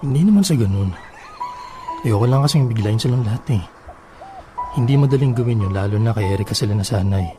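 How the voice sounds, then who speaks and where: An actor playing a patient, apologetic boyfriend in a Filipino radio drama, speaking gently and reassuringly. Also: male voice, mid-range voice, animated, fairly quick, pauses often, natural voice. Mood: soothing, apologetic. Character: soothing adult live-in boyfriend, patient and apologetic. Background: background music.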